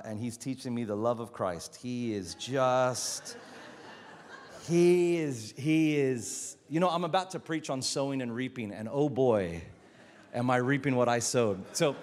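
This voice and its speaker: A man with an American accent preaching a lively, joking sermon as a guest pastor, often stumbling and repeating words. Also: mid-range voice, big-room sound. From Philippines.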